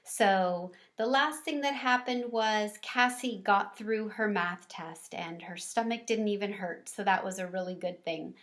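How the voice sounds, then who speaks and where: A woman reading a children's book aloud in a casual, expressive storytelling style. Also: medium pitch.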